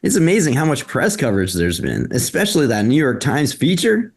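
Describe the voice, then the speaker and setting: A man playing a supportive, thoughtful partner, gentle and enthusiastic, in a table read. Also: medium pitch, sing-song, fairly quick, breathy edge. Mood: admiring, eager. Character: supportive, thoughtful husband or boyfriend.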